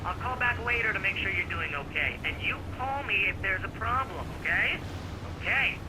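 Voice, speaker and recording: An eager, pushy best buddy in a video game, talking in a high voice. It is a phone call with clear background noise. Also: male voice, expressive, normal speed. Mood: fussing, protective. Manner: chummy, eager, fussing. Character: fussy, overprotective, pushy best pal on the phone.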